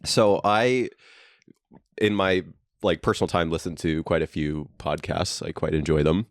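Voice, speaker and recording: A young man talks in a deep, low register, relaxed and agreeable, often breaking into a chuckle. His voice is picked up dry and close, with no room around it, as he co-hosts a casual podcast from a basement. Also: unhurried, no echo. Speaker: podcast co-host. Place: friend's basement.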